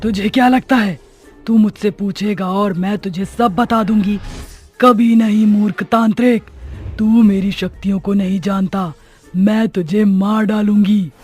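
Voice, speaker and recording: A man voicing an arrogant, murderous female witch in a horror cartoon story, with a husky, strained, menacing delivery. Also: high voice, steady tone, measured pace, a little mellow, voice pushed up. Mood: arrogant, threatening. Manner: menacing, taunting. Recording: background music under the voice.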